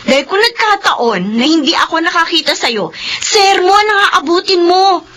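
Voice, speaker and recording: A woman's voice: very high-pitched, animated, moderate pace, sharp tone, slight background noise, heavily acted. An actress playing a bossy, protective female workmate who scolds, in a radio drama. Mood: scolding. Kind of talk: Filipino radio drama acting.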